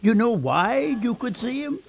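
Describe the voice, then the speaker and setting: A man's voice: very high voice, big pitch swings, unhurried, gravelly, a little hiss or hum, heavily acted. A man playing an old, superstitious local in a radio play, folksy and insistent as he spins his tale. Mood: knowing, grave. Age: older.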